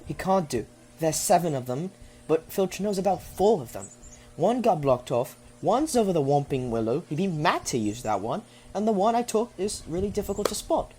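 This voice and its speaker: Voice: mid-range voice, big pitch swings, normal speed, a little mellow, husky. An actor playing a casual, defiant schoolboy in an audio drama. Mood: defensive. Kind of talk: amateur audio drama acting. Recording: faint background noise.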